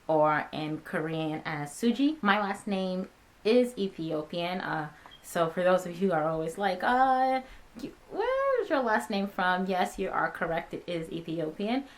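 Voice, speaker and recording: A young woman in her twenties talks casually to her viewers, answering their common questions. Her voice sits a little low for a woman, and she speaks in a relaxed, friendly way, filling the pauses with ums and uhs. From born in Ghana, raised in America. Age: 20s. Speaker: vlogger. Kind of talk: casual talking-head Q&A.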